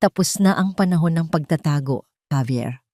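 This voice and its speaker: A woman's voice: low-pitched, normal speed, dark tone, dry recording, clean studio sound, natural voice. A woman voicing a betrayed, wealthy wife who is cold, resolute and commanding, in an audio drama. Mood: cold, bitter.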